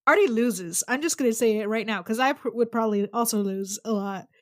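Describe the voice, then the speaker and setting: Highish voiced woman player, casual and playful, kinda self-deprecating game chat. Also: brisk.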